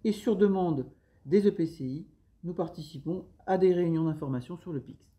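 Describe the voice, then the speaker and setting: Woman with a very dark, mellow voice. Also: lowish voice, normal speed. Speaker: public service agent, interviewee. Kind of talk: explanatory interview answers.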